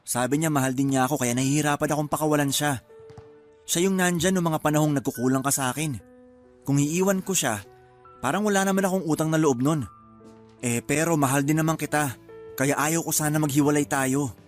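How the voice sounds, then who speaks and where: A man's voice: a little high, brisk, faint music behind, lightly acted. A man playing an evasive, self-justifying husband in a radio drama, defensive and pleading.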